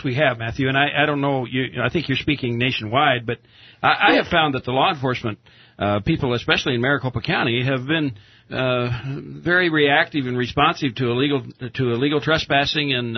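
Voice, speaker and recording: A man with a rough, medium-pitched voice talks in a measured, conversational way as a radio guest. The recording is dry and dull. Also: no room sound. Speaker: interview guest, justice of the peace.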